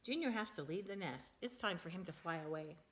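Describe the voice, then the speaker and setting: A woman voicing a calm, reassuring father bird in a storybook read-aloud, speaking in a steady, reasoning way. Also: on the low side, a little fast, natural voice.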